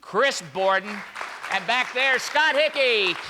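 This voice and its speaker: Older male MC, notably high voice, informal stage introductions in a noisy live hall. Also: expressive, slow. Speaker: master of ceremonies. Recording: live hall recording, crowd and noise. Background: audience crowd noise.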